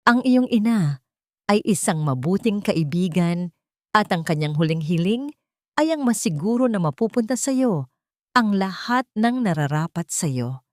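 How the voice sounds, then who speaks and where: A woman's voice: mid-range voice, sing-song, moderate pace, darkish tone, dry recording, close to an everyday voice. A woman plays an older Filipino lawyer in an audio story. He is solemn and compassionate, weighing his words. The sound is very dry and close. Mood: solemn, moved.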